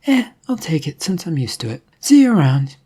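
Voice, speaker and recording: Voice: medium pitch, sing-song, fairly quick, warm tone, husky, pitched above the performer's own voice. A narrator voicing a bored, ruthless female gunslinger with a brusque, sardonic manner in an adventure story. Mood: bored, breezy.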